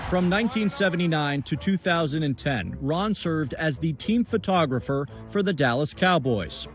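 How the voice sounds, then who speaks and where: A man's voice: fairly high pitch, lively intonation, moderate pace. A man working as a TV news reporter, narrating a scripted feature. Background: music bed.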